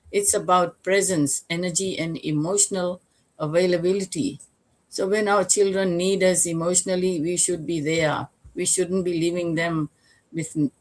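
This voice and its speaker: An older woman from Fiji hosting a live online talk show, speaking earnestly and reflectively, with rhetorical questions. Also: lowish voice. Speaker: host.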